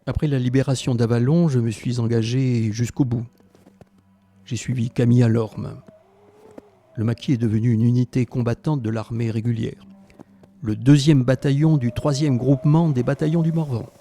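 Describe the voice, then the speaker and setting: A man playing a former French Resistance fighter who tells his memories in a measured, tender and grave audio drama narration. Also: medium pitch, steady tone, warm tone, a little hiss or hum, lightly acted. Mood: reflective, grave. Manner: reflective, measured storytelling. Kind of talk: scripted first-person narration in an audio drama.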